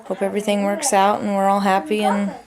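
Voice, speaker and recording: Woman on home video, monotone, matter of fact, voices in the background. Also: medium pitch, brisk, a crowd in the background, low-quality recording. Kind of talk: informal spoken record to camera.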